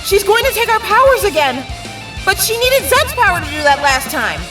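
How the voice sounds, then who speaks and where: A woman's voice: very high voice, big pitch swings, moderate pace. A woman playing an exasperated young superhero in an audio drama, blunt, pleading and indignant. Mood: alarmed. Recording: distant mic, faint music.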